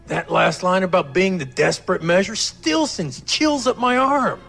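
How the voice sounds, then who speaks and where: A man in casual banter, talking in a slangy, excitable way. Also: high voice, normal speed, vocal fry, faint music behind.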